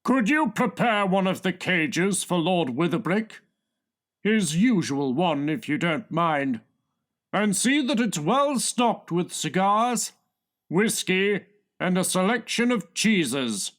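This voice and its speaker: Pompous male ringleader, very high voice, slow emphatic bluster, exasperated, comic audiobook. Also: sing-song, a bit bright, heavily acted. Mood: pompous, commanding. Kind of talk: comic audiobook character reading.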